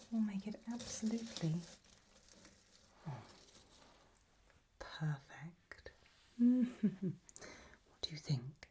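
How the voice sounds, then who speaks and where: A woman's voice: low-pitched, breathy edge, full character voice. A woman playing an elegant, macabre gothic matriarch in an ASMR roleplay, whispering breathily in a languid, gracious manner. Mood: darkly amused. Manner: languid, gracious, soft-spoken.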